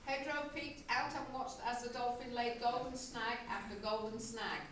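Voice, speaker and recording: A woman's voice: a little high, steady tone, moderate pace. A woman narrating a comic amateur puppet show in a storybook style. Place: room in a nursing home. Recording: very echoey, noisy, distant room recording.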